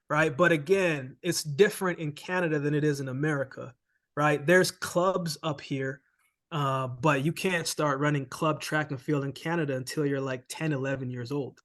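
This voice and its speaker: Relaxed Jamaican-born man telling his story. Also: fairly high pitch, moderate pace. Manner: relaxed, says "man" and "right?" often. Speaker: interview guest, former sprinter. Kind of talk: casual storytelling interview.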